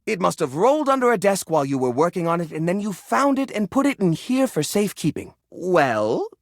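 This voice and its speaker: Casting: a fussy, proud hoarder-curator for a game; nervous stammer, theatrical, very lively pitch swings, dry close sound. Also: male voice, no echo, exaggerated voice. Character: flustered, defensive hoarder junk drawer, proud self-styled curator. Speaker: video game voice actor. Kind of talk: animated video game character acting.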